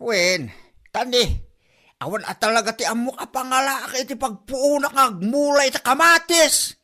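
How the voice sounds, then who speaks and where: A woman's voice: high-pitched, moderate pace, sharp tone. A woman acting a humble tomato farmer who speaks politely and deferentially. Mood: pleading. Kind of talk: amateur comedy drama acting.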